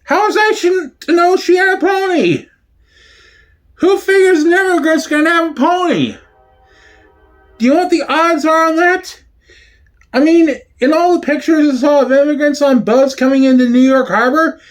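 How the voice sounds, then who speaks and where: A man's voice: extremely high pitch, moderate pace, pitched above the performer's own voice. A man voicing a neurotic male comedian in a sitcom reading, protesting in disbelief with one rhetorical question after another. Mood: defensive, incredulous. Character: neurotic young male comedian, whiny, defensive, incredulous.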